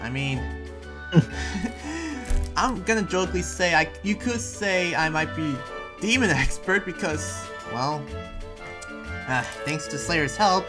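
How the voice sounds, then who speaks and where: Guy acting as a hardworking town boss in anime roleplay, preachy but friendly, kinda halting, loud music under him. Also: sits high, animated. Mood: apologetic, friendly. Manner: halting, rambling, informal advice-giving. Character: earnest hard-working town leader, preachy but friendly. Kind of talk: improvised Minecraft anime roleplay.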